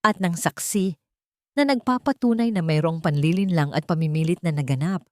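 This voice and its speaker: A woman reading a scripted first-person dramatic story in a measured, expressive way. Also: lowish voice, dry recording. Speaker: audio story narrator.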